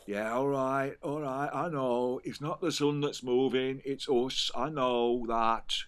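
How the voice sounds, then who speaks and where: A man's voice: mid-range voice, fairly bright tone. A man with an English accent playing a grumbling, plain-spoken homespun philosopher in an audio play, talking colloquially. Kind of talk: naturalistic audio play acting.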